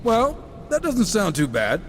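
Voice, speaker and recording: A man's voice: high-pitched, wildly swooping pitch, a little slow, slight background noise, heavily acted. A man playing a cynical, wisecracking horseman and reformed killer in a video game, talking in a sardonic, informal way. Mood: wry. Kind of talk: game cutscene dialogue. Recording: music behind the voice.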